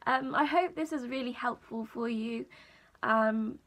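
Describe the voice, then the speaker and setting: Young woman with a croaky, high voice. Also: a little slow. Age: young adult. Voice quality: high register. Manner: chatty, many ums, relaxed storytelling. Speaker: vlogger. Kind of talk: casual talk to camera. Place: small room indoors.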